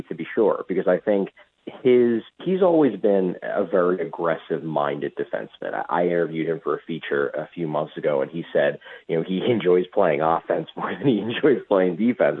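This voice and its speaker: A man's voice: sits low, husky. A male sports reporter giving analytical hockey commentary in a radio interview, in hesitant phrases with repeated words. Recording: muffled, tinny phone line.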